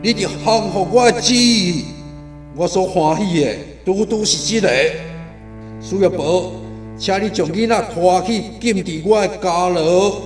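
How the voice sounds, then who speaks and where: An actor with a Taiwanese accent playing a domineering king of a dark realm in a radio drama, speaking in a commanding, oratorical way. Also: high-pitched, a little slow. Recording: echoey studio with music behind.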